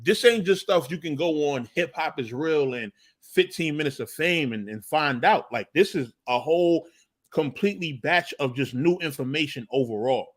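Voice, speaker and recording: A man's voice: on the high side, expressive, normal speed. A man from Harlem with a New York accent, hosting a casual interview and asking questions in an easygoing way. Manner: laid-back, asks questions, peppers lines with "you feel me". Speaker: podcast host.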